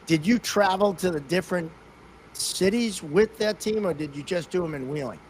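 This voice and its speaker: A man's voice: high voice, normal speed, slight background noise, rough recording. A man co-hosting a podcast, asking casual questions in an informal chat. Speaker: podcast co-host, hockey referee.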